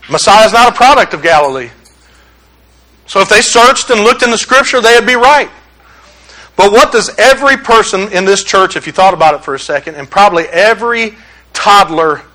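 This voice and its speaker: A man's voice: high voice, bright, forceful tone, poor audio. A man from Detroit preaching a sermon as pastor, conversational, folksy and openly sarcastic. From Detroit, USA.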